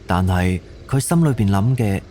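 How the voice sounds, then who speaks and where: A male narrator with a Cantonese accent reads a scripted story. His voice is fairly low, dark and relaxed, with faint noise behind it. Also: normal speed.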